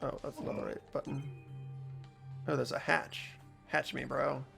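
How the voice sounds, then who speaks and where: A man's voice: medium pitch, little pitch movement, a little slow, vocal fry, a bit bright, faint music behind. A man hosting a game playthrough, chatting casually in offhand, muttered asides as he plays. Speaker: playthrough host and player. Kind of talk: casual gaming chat.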